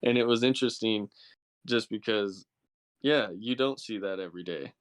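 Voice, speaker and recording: A man with a smooth, fairly low voice, recorded close and dry. He chats casually as a podcast host, earnest and reflective, with plenty of slang. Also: moderate pace, no echo. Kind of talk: casual chat.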